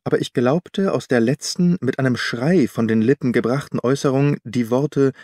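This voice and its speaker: A man reading a literary story as an audiobook narrator, in a measured, formal storytelling manner. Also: mid-range voice, darkish tone, no room sound, clean studio sound.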